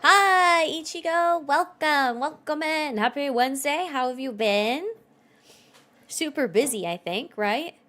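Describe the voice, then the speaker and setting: A woman's voice: high-pitched, lively intonation, measured pace, sharp tone. A woman chatting casually while livestreaming a game, informal and sometimes muttering to herself.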